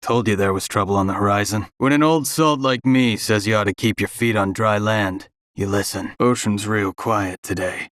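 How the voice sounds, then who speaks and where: An actor playing a wary, weathered old sailor in a video game, with folksy, clipped speech. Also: male voice, fairly low pitch, normal speed, hardly any pauses, a bit bright, no echo, clean studio sound, lightly acted. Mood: foreboding. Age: older. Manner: folksy, clipped, dropped-subject phrasing. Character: weathered old male sea salt, wary and foreboding. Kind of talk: video game character dialogue.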